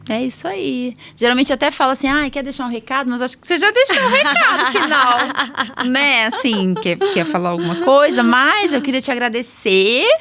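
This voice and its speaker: A woman's voice: high voice, sing-song, brisk, a loud crowd. A woman with a Brazilian Portuguese accent hosting a podcast in casual, chatty conversation, often checking for agreement. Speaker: podcast host, allergist doctor.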